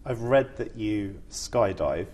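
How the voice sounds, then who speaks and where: A man interviewing a guest, asking short questions in a brisk, polite way. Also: on the low side, expressive, darkish tone, a little hiss or hum.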